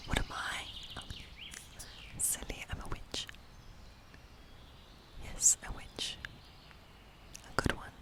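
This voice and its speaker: A woman whispering an ASMR fantasy role play as a friendly, playful witch, with a breathy, gentle, coaxing manner. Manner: gentle, coaxing, slow and soothing. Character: friendly, playful, lonely witch living in a woodland cottage. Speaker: ASMR creator.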